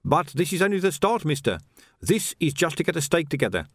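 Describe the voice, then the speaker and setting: A man's voice: sits high, big pitch swings, moderate pace, no echo, studio quality, voice pushed up. A man voicing an eager, shifty young pie seller with a nervous salesman's patter, in an audiobook story. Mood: boastful. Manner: salesman's patter, flustered stammering.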